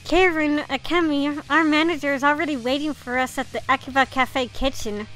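Bright, high-pitched woman playing a visual novel. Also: fairly even intonation, a little fast, faint music behind, rough recording. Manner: reactive, informal, mild swearing. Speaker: gamer reading a visual novel aloud. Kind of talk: casual playthrough with read-aloud dialogue and reactions.

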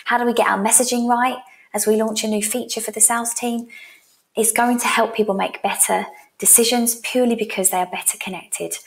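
A woman in her thirties presenting as a sales coach in an instructional style, direct and encouraging, speaking into a headset mic. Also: medium pitch. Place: small room at home, indoors.

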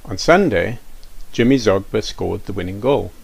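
A middle-aged man reading a children's storybook aloud, adding gentle questioning asides for young listeners. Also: fairly low pitch, lively intonation, normal speed, vocal fry, slightly rough. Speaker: children's author reading his book.

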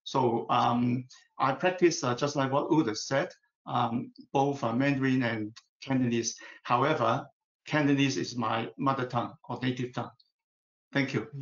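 Older Hong Kong-accented man, formal and measured, roomy dull call audio. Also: mid-range voice, fairly even intonation. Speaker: retired government translator. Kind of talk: formal self-introduction on a video call.

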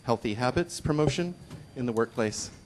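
A man's voice: mid-range voice, expressive, moderate pace, a little hiss or hum. A middle-aged man chairing a conference session, introducing the next speaker into a handheld microphone in a conference room. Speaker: session moderator. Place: conference room, seated at a table.

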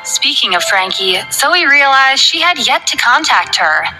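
Woman narrator, novel reading, bright and clear, quiet music bed. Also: high voice, sing-song, measured pace, rough recording. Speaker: audiobook narrator.

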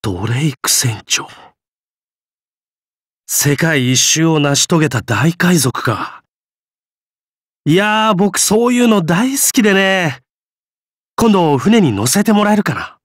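A man playing an affable, gentle gentleman adventurer in a game, speaking politely and breezily. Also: a little high, sing-song, slow, long pauses, no room sound, studio quality, heavily acted. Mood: easygoing. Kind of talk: game character voice lines.

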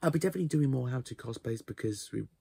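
A man's voice: medium pitch, expressive, rapid-fire, vocal fry, mellow, slightly rough, no room sound. A man in his twenties giving a casual channel update to camera, with hesitant, self-deprecating asides. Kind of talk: casual vlog talk to camera. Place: small cluttered bedroom, indoors.

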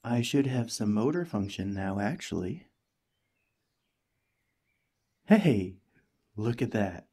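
A man voicing a cheerful, friendly alien parasite in a gentle, chatty ASMR roleplay, eager and reassuring. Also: lowish voice, animated, measured pace, husky. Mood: excited, curious. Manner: gentle, chatty, playfully earnest.

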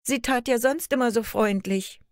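A woman's voice: fairly high pitch, moderate pace, no room sound, studio quality, voice pushed up, close to an everyday voice. A woman voicing Märchen, a young girl who is the spirit of fairy tales, pleading and tearful. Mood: startled.

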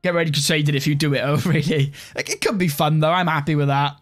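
Young guy streaming a strategy game, slightly high voice, chill and rambly, says like a lot. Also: quick pace, faint music behind. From Wales. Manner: relaxed, rambling, heavy use of "like". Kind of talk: live-stream gameplay commentary, reading chat.

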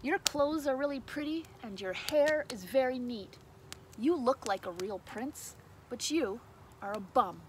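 A bold young storybook princess as a woman reads her aloud, clever and emphatic. Her voice is high and swoops widely, over faint background noise. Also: lively intonation, measured pace, low-quality recording. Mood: cutting. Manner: emphatic, loud, exclaiming.

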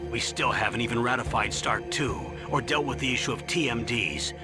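Actor as a grave older colonel over music. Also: male voice, medium pitch, close to an everyday voice. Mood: grave, guarded. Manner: grave, earnest, measured, formal. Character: older male military colonel, grave, evasive commander. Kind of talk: video game radio briefing acting.

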